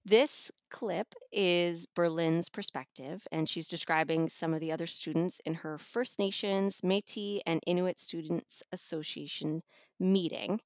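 A woman's voice: on the low side, fairly even intonation, a little slow, no echo, studio quality. A woman reviewer talking casually and conversationally as she discusses a book as a guest. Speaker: audiobook reviewer and podcast guest.

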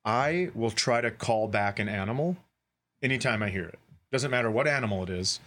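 Animated man telling comic stories, swooping pitch. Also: brisk. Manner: animated, acts out dialogue in his stories. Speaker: comedy podcast co-host. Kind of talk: comic storytelling, casual banter.

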